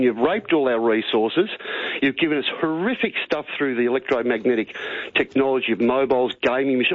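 A man's voice: mid-range voice, dry recording. Aussie guy on a thin phone line to talkback radio, middle aged, rambly, matey, opinionated. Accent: Australian. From Australia. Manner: rambling, earnest, matey address.

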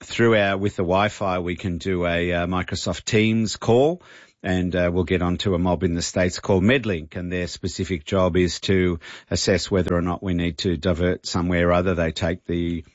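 Man, very low pitch, halting with lots of uh, dull dry sound. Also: level tone, no echo. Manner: halting, many fillers. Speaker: airline pilot guest. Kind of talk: radio interview.

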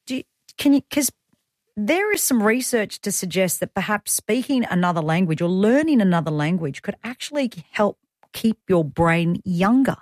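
A woman's voice: medium pitch, animated, normal speed, darkish tone, no room sound, clean studio sound. An Australian woman in her thirties hosting a talk radio show, chatting through the rundown with personal asides. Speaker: radio host. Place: radio studio. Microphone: studio microphone.

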